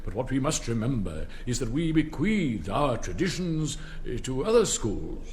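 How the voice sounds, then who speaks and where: A man's voice: medium pitch, sing-song, normal speed, a little mellow, slight background noise, natural voice. An older man with an English accent playing a pompous, wistful headmaster in a rambling, donnish manner in a stage comedy. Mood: ceremonious. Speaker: retiring school headmaster. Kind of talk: stage comedy speech-making.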